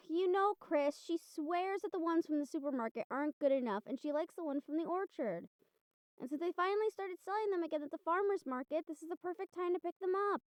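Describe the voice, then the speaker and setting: A woman acting a very high-voiced, bubbly college girl. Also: fairly quick, no echo. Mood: reassuring, mildly exasperated. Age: young adult. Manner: casual, expressive, chatty, sighing and giggly. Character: bubbly, encouraging young college woman bonded to a ghost. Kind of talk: roleplay audio drama acting, speaking to listener.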